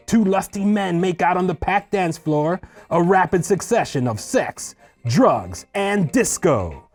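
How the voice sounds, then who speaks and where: A man reading screenplay stage directions in a husky voice, as scripted narration at a table read. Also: on the high side, darkish tone.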